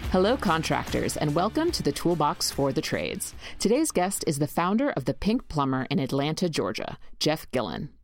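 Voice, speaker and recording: A woman's voice: low voice, very animated, normal speed, few pauses, soft background music. A woman hosting an interview podcast, introducing her guest and asking questions in an upbeat, eager way. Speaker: podcast host.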